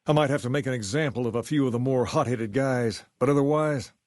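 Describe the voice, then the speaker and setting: An actor playing a suave, folksy gang leader in a video game, with a drawl and a cool swagger. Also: male voice, medium pitch, a little fast, a little mellow, dry recording, studio quality, close to an everyday voice. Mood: weighing it up. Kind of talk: video game dialogue acting.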